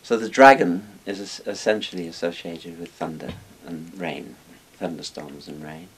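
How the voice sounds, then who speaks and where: Older man, very deep voice. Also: moderate pace, breathy edge, low-quality recording. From Wales. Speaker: interviewed expert on Chinese dragons. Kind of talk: casual explanatory talk. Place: small book-lined room indoors. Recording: hissy background noise.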